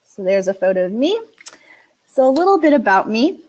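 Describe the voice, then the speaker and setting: A woman who teaches English as a second language, giving an upbeat, clearly spoken webinar presentation. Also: a little high, big pitch swings, moderate pace, a little mellow, dull audio. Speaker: ESL instructor.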